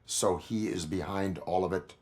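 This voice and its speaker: Man voicing stiff cyborg cop, very deep voice, flat clipped mechanical delivery, game character. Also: normal speed, lightly acted. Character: stiff male cyborg police officer, calm and literal.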